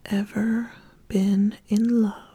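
A woman playing an ambitious, discerning witch in an ASMR roleplay, speaking in a soft, breathy whisper with slow, deliberate questioning. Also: medium pitch, little pitch movement, no room sound. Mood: measured, appraising. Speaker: host of an ASMR channel.

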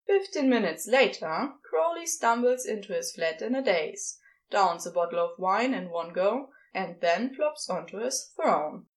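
A woman reading fiction aloud as expressive scripted narration, with dramatic pauses. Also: mid-range voice. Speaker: podfic reader.

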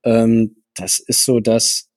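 A man's voice: medium pitch, flat delivery, takes it slowly, no room sound. A man who co-hosts a podcast and coaches running, explaining things at length with many hesitations. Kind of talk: casual chat.

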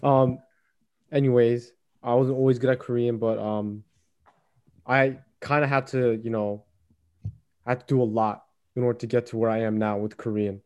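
A young man with a mellow, darker voice talks through his thoughts in relaxed conversation. He hesitates often, restarting sentences and leaning on "you know". His pitch sits in the middle range for a man. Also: normal speed, pauses often, smooth, lo-fi sound. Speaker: friend in a group chat. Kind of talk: casual chat.

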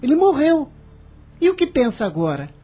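Radio drama: resentful young man, very high-pitched, soft mellow tone, anxious and reproachful. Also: wildly swooping pitch, moderate pace, faint music behind, exaggerated voice. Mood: bitter, resentful. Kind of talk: radio soap opera acting.

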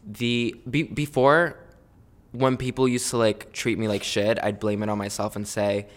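A man from Chile hosting a podcast in casual, playful chat, animated and teasing. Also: fairly low pitch, moderate pace. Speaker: podcast host.

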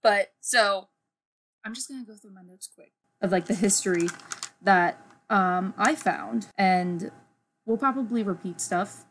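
A woman's voice: medium pitch, a little slow, a little hiss or hum. A young woman co-hosting a casual podcast, chatty and giggly, reading history from her notes with lots of "like". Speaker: podcast co-host. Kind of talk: casual chat.

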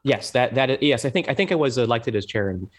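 A man in his thirties from Hong Kong, a guest in a casual talk, speaking haltingly with many restarts and fillers. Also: medium pitch, expressive, a little hiss or hum. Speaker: guest, chair of an overseas Democrats group. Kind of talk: casual conversation.

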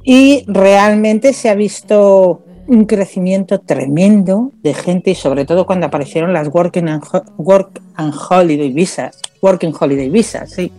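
A woman from Spain, a radio guest telling her own story in a relaxed way with many small pauses. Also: fairly low pitch. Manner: storytelling, hesitant fillers. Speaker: guest, social media community manager. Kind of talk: relaxed interview talk. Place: radio studio.

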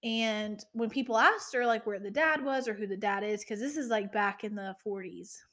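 A woman's voice: mid-range voice, normal speed, fairly bright tone. A woman in her twenties co-hosting a podcast in casual true-crime chat, chatty and swearing, with ranting asides. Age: 20s. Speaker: podcast co-host.

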